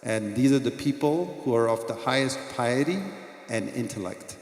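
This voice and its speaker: A man's voice: medium pitch, moderate pace. Man telling stories in an echoey hall. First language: not Arabic. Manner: earnest storytelling, quiet and reverent at moments. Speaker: doctor giving a talk. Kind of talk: mosque lecture, personal stories. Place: mosque hall. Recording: echoey hall, long reverb.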